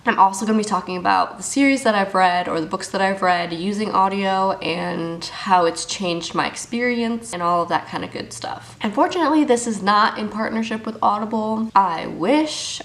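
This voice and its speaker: A young woman book vlogger chatting casually to camera, upbeat and full of fillers, sniffly from a cold. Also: mid-range voice. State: sick and sniffly, blocked ears.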